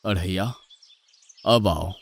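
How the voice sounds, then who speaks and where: A man plays a patient, indulgent god walking among people in a cartoon tale. His voice is fairly low. He instructs and coaxes gently, like a kindly elder. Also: takes it slowly, creaky, dry recording, exaggerated voice. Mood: patient, instructing. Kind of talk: Hindi cartoon mythological acting.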